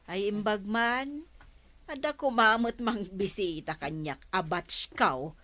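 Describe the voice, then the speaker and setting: A woman's voice: medium pitch, slow pace, pushed and bright. A woman with an Ilocano accent playing a nosy, frank village storekeeper in a radio comedy drama. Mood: gossipy.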